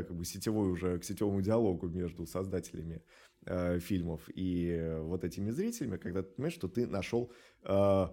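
A man answering as an animation studio director in an interview, explaining things in a halting, thoughtful way with drawn-out hesitations. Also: sits low, vocal fry, warm tone, breathy edge.

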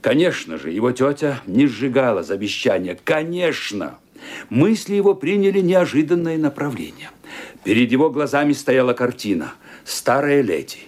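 A man giving dramatic scripted narration for a radio play in a theatrical, expressive manner. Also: medium pitch, normal speed.

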